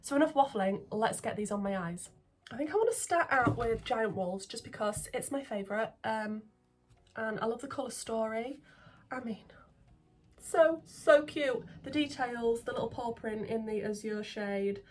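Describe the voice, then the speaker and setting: A woman who is getting over a cold, chatting excitedly through a makeup vlog at home, full of ums and sometimes dropping to a near-whisper. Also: on the high side, moderate pace. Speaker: makeup vlogger. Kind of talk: casual beauty vlog chat. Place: indoors at home, filming makeup at a mirror.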